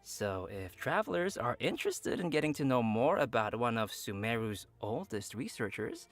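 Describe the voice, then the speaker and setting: A man co-hosting a game preview show, presenting updates in a playful, animated way with banter. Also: medium pitch, normal speed.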